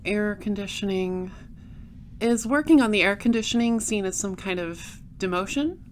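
A woman playing a cool space patrol detective in an audio drama, questioning in a clipped, deadpan way. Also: mid-range voice, moderate pace, slight background noise, close to an everyday voice. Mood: businesslike. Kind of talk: audio drama dialogue and narration.

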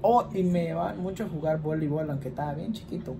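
A man's voice: on the high side, fast talker, creaky. Chatty young Mexican man, noisy room. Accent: Mexican Spanish. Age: 20s. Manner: chatty, rambling, often asks "¿verdad?". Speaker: vlogger answering questions. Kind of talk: casual home vlog. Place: small indoor room, plain wall.

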